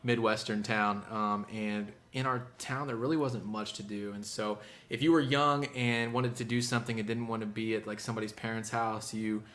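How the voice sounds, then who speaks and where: A young man from Indiana talks loosely about his music in a relaxed interview, with a bright, forceful tone. He backs up, restarts and fills gaps with "um" as he thinks aloud. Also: on the low side, moderate pace, hardly any pauses. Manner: easygoing, many fillers and restarts. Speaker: musician guest. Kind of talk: casual interview chat.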